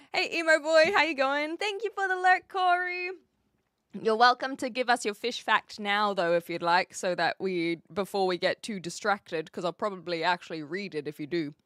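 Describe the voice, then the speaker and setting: A woman's voice: mid-range voice, sharp tone, no echo, studio quality. A woman with a New Zealand accent, chatting casually on a livestream in a playful, rambling, teasing way as she answers viewers. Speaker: live streamer.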